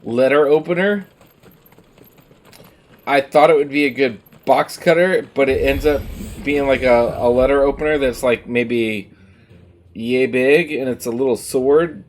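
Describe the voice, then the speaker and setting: A man's voice: medium pitch, a little slow. A man casually joking and rambling while streaming a game. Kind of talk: casual gameplay commentary.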